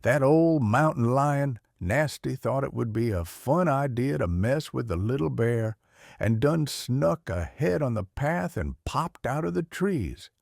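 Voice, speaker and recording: A man with a Southern US accent reading a children's storybook aloud in a folksy, expressive way. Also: mid-range voice, unhurried, vocal fry, dry recording, clean studio sound. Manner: folksy, animated.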